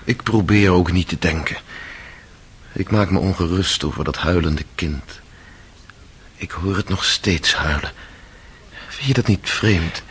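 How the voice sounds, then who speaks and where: An actor playing a tormented, remorseful but defiant accused son in a radio drama, brooding and impassioned in delivery. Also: male voice, low voice, unhurried, pauses often, fairly bright tone, a little hiss or hum. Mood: brooding, uneasy.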